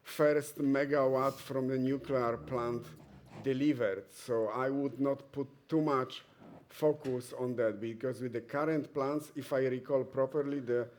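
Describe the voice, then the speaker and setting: Slow, measured Polish panelist. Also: male voice, mid-range voice, darkish tone. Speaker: solar association representative. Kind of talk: panel discussion.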